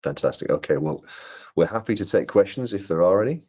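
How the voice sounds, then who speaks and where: Male product presenter, middle-aged, extremely deep and husky, slightly lo-fi video call sound. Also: lively intonation, fairly quick, a little mellow. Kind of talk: product training presentation with question and answer. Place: indoor room on a video call. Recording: dull, lo-fi video call audio.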